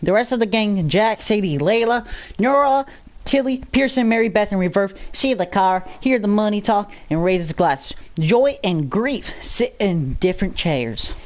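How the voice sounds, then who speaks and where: A woman's voice: medium pitch, lively intonation, moderate pace, darkish tone. A woman reading a scripted story aloud as a dramatic narrator.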